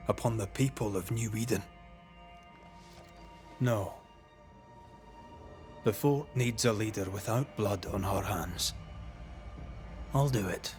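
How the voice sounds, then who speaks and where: A man playing a stern, resolute ghost hunter in a dramatic game cutscene, speaking in a measured, accusatory manner. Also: lowish voice, faint music behind. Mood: grave, resolute. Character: grave, resolute male ghost hunter passing judgment.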